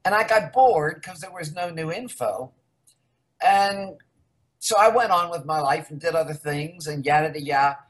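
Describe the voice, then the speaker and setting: A woman's voice: deep voice, normal speed. An older woman from the United States, a researcher guest in a casual interview, rambling candidly through her story.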